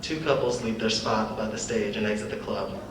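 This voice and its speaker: A man's voice: on the low side, fairly even intonation, normal speed. A young man reading stage directions as scripted narration for a dramatic reading. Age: young adult. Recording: echoey hall from far off, noisy.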